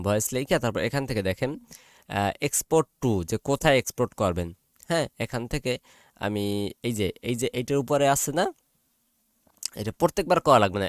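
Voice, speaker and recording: Bangladeshi man giving a dry-sounding software tutorial. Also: lowish voice, no echo. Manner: repetitive, often checks in with listeners. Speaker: tutorial presenter. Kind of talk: informal step-by-step software tutorial.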